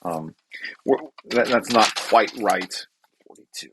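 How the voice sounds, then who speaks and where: Halting man explaining a technical paper. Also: fairly low pitch, background noise. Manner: halting, thinking aloud, repeats and corrects himself. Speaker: researcher presenting own paper. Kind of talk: informal technical read-through with explanation.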